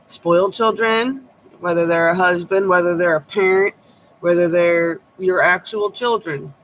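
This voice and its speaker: A woman tarot reader with a low voice, giving blunt, sharp-tongued advice in a casual chat to her viewers. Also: measured pace, a little hiss or hum, low-quality recording.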